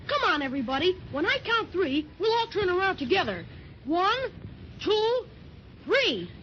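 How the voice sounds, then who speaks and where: A woman's voice: very high-pitched, big pitch swings, moderate pace. A performer, a woman, playing a plucky, earnest young boy given to gee-whiz exclamations in a 1930s children's radio serial. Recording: dull, noisy 1930s radio transcription.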